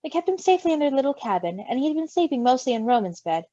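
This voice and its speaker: A woman reading a story aloud in an expressive, gushing, giggly way, with amused asides. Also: sits high, very fast, dry recording. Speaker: fan fiction reader. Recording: dull lo-fi recording.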